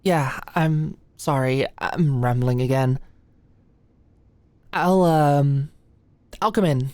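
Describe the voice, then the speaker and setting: A woman's voice: a bass voice, takes it slowly, mellow, no echo. A young woman acting an anxious, flustered medical student and study buddy in an ASMR roleplay, rambling and stammering apologetically. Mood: sheepish, uneasy.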